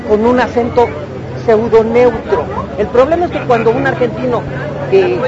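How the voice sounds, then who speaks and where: A man with a Mexican accent, a striking voice actor, earnestly explaining his union's grievances at length during a street protest interview. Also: high-pitched. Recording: muffled street recording with loud crowd.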